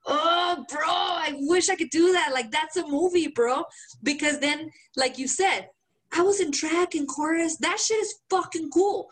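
High-voiced woman cohost, cursing a lot, fired up chat. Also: measured pace. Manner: swears a lot, animated and emphatic. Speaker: podcast co-host. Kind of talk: casual chat.

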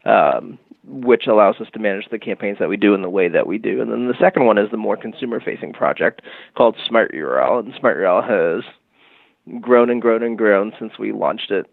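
A man with a low, gravelly voice explains his work as a podcast guest, steady and full of fillers. The sound is narrow and phone-like, and the space around it is dry and close. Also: dry recording. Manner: measured, explanatory, with frequent fillers. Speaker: agency head, podcast guest. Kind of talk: casual interview talk. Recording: tinny phone-like line.

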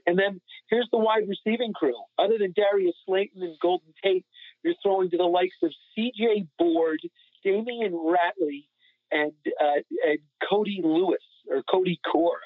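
A man's voice: high-pitched, dry recording. Man talking sports on a phone-like line. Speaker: sports podcast panelist. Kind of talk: casual sports discussion. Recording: phone-like narrow-band sound.

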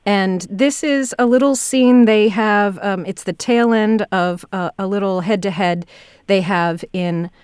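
A woman's voice: medium pitch, measured pace, a little mellow, smooth, dry recording, clean studio sound. A woman hosting a casual interview podcast, speaking effusively and thoughtfully, feeling her way through her phrases. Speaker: podcast host, director.

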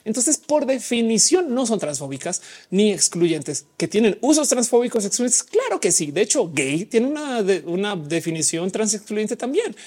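Woman livestream host, mixed Mexican-Colombian accent, husky voice with a lively swinging pitch, slangy and talkative. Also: moderate pace, few pauses. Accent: mixed Mexican and Colombian Spanish. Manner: casual, chatty, explains at length with slang. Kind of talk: live chat reading audience comments.

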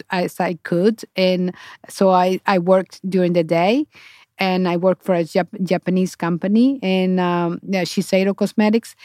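A middle-aged woman from Honduras with a Honduran accent and native Spanish, speaking haltingly as an interview guest telling her own story. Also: lowish voice, a little mellow, dry recording, clean studio sound. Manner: halting, searching for words. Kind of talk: conversational personal storytelling.

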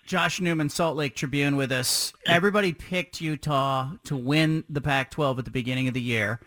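A man hosting a sports talk radio show, chatty and energetic, asking long rambling questions. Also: medium pitch, moderate pace, no room sound.

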